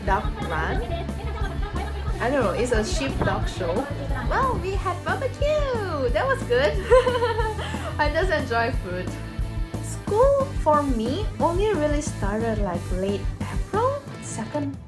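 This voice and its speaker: A woman's voice: sits high, wildly swooping pitch, slow pace. Giggly young woman over music. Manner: giggly, laughs often between sentences. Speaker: former voice acting student vlogging. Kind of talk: casual chat. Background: background music.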